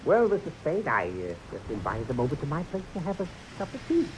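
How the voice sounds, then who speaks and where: A soft-spoken old-time hoodlum with a mild, slow drawl. His voice rises and falls in a lively way, and there is a faint hiss behind it. It is classic 1940s radio acting. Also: on the high side.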